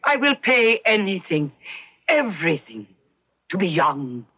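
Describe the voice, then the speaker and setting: An actress playing a desperate ageing ballerina, tearful and pleading intensely in a radio mystery drama. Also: female voice, on the low side, very animated, a little slow, slightly rough, dry recording, heavily acted. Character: desperate ageing female ballerina longing for youth. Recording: dull old radio recording.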